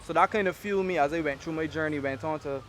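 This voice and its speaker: A young man with a Barbadian accent talks quickly in a lively island dialect. His voice sits a little high. Faint outdoor traffic hums behind him. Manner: animated storytelling in Caribbean dialect. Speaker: award-winning activist guest. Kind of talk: casual interview talk.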